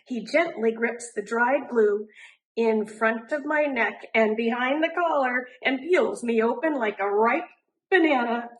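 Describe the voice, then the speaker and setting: Slow, high-pitched woman reading a storybook. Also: slight background noise, low-quality recording. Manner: animated, deliberate, drawn-out emphasis on rhyming words. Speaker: teacher reading a picture book aloud. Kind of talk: expressive children's storybook narration.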